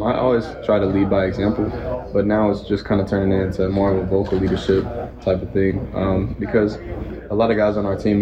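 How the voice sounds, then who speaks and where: A young college football player answering reporters hesitantly, with many ums. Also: male voice, fairly low pitch, dull audio. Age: young adult. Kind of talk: press conference answers.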